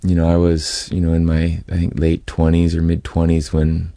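American man, low mellow creaky voice, close dry sound, thoughtful interview answers. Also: monotone, moderate pace, no room sound. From California, United States. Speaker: interview guest, activist. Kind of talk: reflective personal account in an interview.

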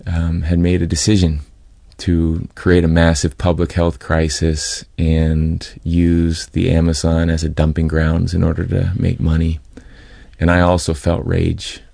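American man, low mellow creaky voice, close dry sound, thoughtful interview answers. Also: no room sound. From California, United States. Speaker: interview guest, activist. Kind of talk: reflective personal account in an interview.

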